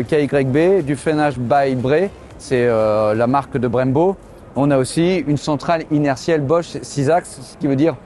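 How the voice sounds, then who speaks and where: A middle-aged man, a motorcycle journalist, walking a trade show and explaining the bikes in a lively way. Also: on the high side, slight background noise. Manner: lively, explanatory, tosses in fillers. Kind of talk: trade show walkthrough and interviews. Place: busy indoor exhibition hall. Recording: background music.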